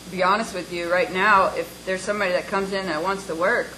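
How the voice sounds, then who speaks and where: A woman's voice: fairly low pitch, steady tone, fast talker, pushed and bright, a little hiss or hum, low-quality recording. Blunt, encouraging woman panelist. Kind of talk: panel discussion.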